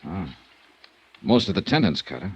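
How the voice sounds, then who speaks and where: An American actor playing a dry, persistent insurance investigator in a 1950s radio detective drama, clipped and probing. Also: male voice, low voice, sing-song, normal speed, breathy edge, exaggerated voice. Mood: cool, businesslike. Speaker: lead actor and narrator. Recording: dull vintage radio recording.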